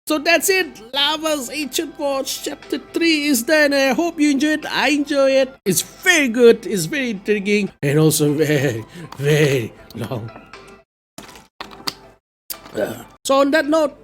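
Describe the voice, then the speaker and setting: A story reader signs off in a casual, chatty way. The pitch moves around a lot, and faint music plays behind the voice. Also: expressive, a little slow, fairly bright tone. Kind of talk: casual sign-off after reading.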